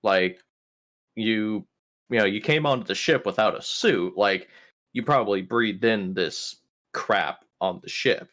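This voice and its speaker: A player in a tabletop roleplaying game, improvising casual in-character dialogue in halting, hesitant phrases. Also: sits low, a bit bright.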